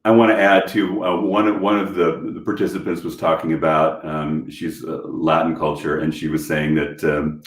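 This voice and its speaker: A man's voice: a bass voice, level tone, moderate pace, hardly any pauses. A man chatting on a panel from home over a video call, rambling with lots of fillers and restarts. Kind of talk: casual panel chat. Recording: echoey room.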